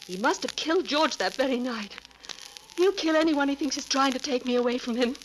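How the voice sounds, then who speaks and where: A woman acting an anxious young wife in a 1940s radio melodrama. Her voice is high and fearful, pleading in theatrical style, on a faintly crackly vintage recording. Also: a little fast, close to an everyday voice. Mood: anxious, confiding.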